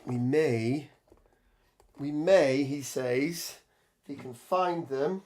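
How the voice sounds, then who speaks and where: A man with a hoarse voice from a cold, chatting casually on a live stream while building terrain, with lots of ums and restarts. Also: mid-range voice, extremely slow, a little mellow. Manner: rambling, many ums and restarts, reads chat aloud. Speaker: tabletop gaming streamer.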